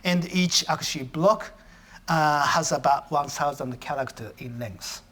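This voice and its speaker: A man whose first language is Japanese gives an academic lecture as a professor, often halting and correcting his own phrasing. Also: fairly high pitch, a bit bright.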